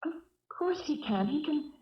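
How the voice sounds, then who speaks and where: An actress playing an anxious, impulsive and defiantly kind wife, urgent and emotional in a radio drama. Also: female voice, high voice, expressive, normal speed. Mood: insistent. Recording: distant, echoey, muffled old radio recording.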